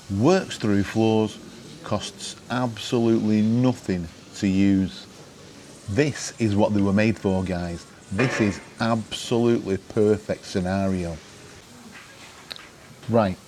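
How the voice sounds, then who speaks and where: A man's voice: on the low side, mellow, a little hiss or hum. A middle-aged British man presenting to camera in an informal, wry, chatty way, often putting questions to the viewer. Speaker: vlogger presenting gadget advice.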